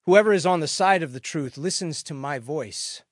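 A man playing a calm, solemn holy man on trial in a dramatic scripture reading, earnest and measured. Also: fairly high pitch, no room sound, clean studio sound, lightly acted.

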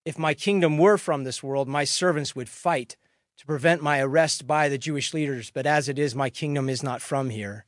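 A man playing a calm, solemn holy man on trial in a dramatic scripture reading, earnest and measured. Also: a little high, no room sound, clean studio sound, natural voice.

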